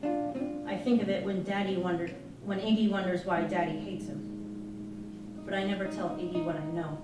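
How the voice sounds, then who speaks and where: A woman giving an expressive live reading of a first-person novel, narrating as a candid, troubled young girl. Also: medium pitch, normal speed. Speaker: reader at a live literary performance. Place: live performance in an arts venue. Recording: echoey live room, distant mic, music. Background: music playing, guitar.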